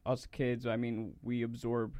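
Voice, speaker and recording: A man's voice: medium pitch, completely flat delivery, unhurried, a little mellow. A young man who is a patient, answering a question in an interview in a hesitant, thoughtful way. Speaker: guest, patient.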